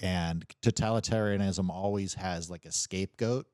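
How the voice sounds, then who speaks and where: A man chatting casually as a podcast co-host, explaining things in a rambling, halting way full of casual slang. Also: low-pitched, darkish tone, clean tone, dry recording, clean studio sound. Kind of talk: casual chat.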